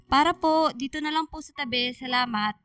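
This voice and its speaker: Bright high-pitched sassy young daughter. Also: female voice, a little fast, no echo, studio quality, faint music behind. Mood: mock offended. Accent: Filipino. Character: cheerful, sassy young adult daughter, recent graduate. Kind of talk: Filipino radio drama acting.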